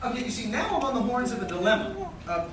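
Man playing a lively, wisecracking teen superhero. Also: extremely high pitch, fast talker, crowd noise. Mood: quippy. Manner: stammering, quippy. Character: wisecracking, flustered teenage male superhero. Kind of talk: live staged radio play reading. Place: large hotel conference room with seated audience. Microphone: distant audience camera. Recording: echoey noisy room, recorded from far off.

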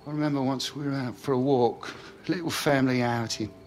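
A middle-aged British man playing a regretful, pleading estranged father in a video game drama, speaking in a hushed, earnest, halting way. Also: mid-range voice, steady tone, a little hiss or hum, lightly acted. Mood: sombre, confessional.

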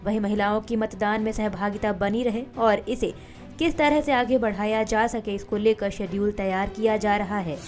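A woman reading scripted news bulletins as anchor, in a formal, steady newsreader delivery. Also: medium pitch.

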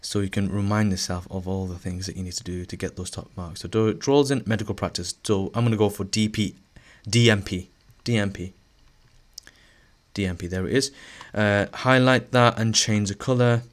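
A male teacher narrating a screen-recorded lesson, a little hoarse as he is losing his voice, talking through each step with fillers and restarts. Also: lowish voice, normal speed. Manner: relaxed, thinking aloud with fillers and restarts.

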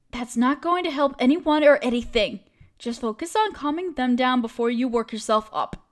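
A woman acting as a caring, protective best friend in an audio roleplay, speaking casually and emphatically. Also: high-pitched. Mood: anxious. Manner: expressive, emphatic, casual with mild swearing.

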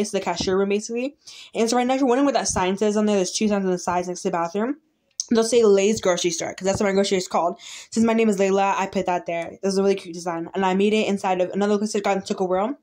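Fast-talking chatty woman YouTuber. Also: medium pitch, a little mellow. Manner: chatty, rambling, restarts often. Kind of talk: casual game walkthrough narration. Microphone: phone filming a tablet screen.

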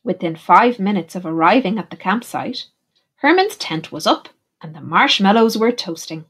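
A woman teacher reading a picture book aloud in an expressive, deliberate way for young children. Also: mid-range voice, husky. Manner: animated, deliberate, puts questions to young listeners. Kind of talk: expressive storybook narration for children.

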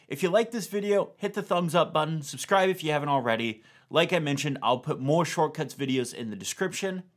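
A man's voice: fairly high pitch, a little fast. A man, narrating a tech tutorial in a casual, conversational, explanatory way. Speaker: tech video host.

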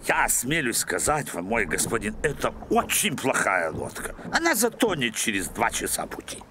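An actor playing a servile, nervous boat merchant in a cartoon, obsequious and hesitant. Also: male voice, mid-range voice, huge pitch swings, moderate pace, noisy background. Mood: timid warning. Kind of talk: animated film voice acting.

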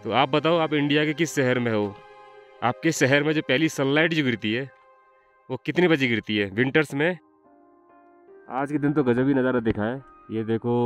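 A man's voice: medium pitch, dull audio. A young man vlogging casually outdoors on a mountain, chatting straight to his viewers. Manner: chatty, addresses viewers directly. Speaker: travel vlogger. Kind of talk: casual vlog narration.

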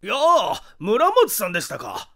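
A storybook character, acted out by a male narrator: an evasive, chatty man who is easily startled. His voice is high and animated, pushed into loud, exaggerated cheer. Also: unhurried, full character voice.

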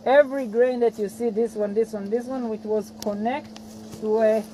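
A man's voice: sits high, steady tone, normal speed, mellow, a little hiss or hum, poor audio. Man explaining crops outdoors. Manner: explains patiently in non-native English. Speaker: agronomist guiding a farm tour. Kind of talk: outdoor field lecture. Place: outdoors by a cornfield.

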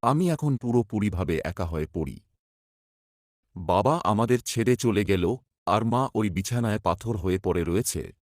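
A man's voice: on the low side, darkish tone. A man playing a poor, worried young village son, narrating his hardship in a scripted cartoon story. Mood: sorrowful. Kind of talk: first-person scripted horror cartoon narration.

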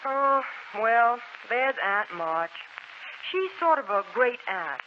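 An actress playing a spirited, outspoken teenage tomboy with a blunt, lively manner in a 1940s radio drama. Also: female voice, fairly high pitch, slow, noisy background. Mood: wry. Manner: blunt, lively, playfully exasperated. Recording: muffled 1940s radio broadcast, music behind.

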